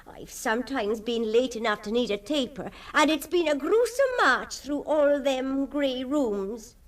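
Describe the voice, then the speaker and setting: Nervous chatty housekeeper in a radio play, swoops around a lot, bit off mic. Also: female voice, high voice, expressive, unhurried, recorded from a distance. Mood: uneasy. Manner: fussy, folksy, hesitant. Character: deferential, superstitious working-class housekeeper, nervous and chatty. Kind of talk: radio drama acting.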